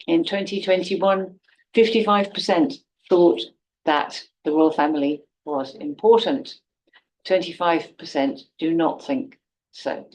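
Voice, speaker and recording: A woman teaching an online class, speaking clearly and evenly and putting quiz questions to her students. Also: lowish voice, darkish tone, slight background noise, low-quality recording. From Britain. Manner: clear, measured, poses quiz questions to the viewer. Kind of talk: online class introduction video.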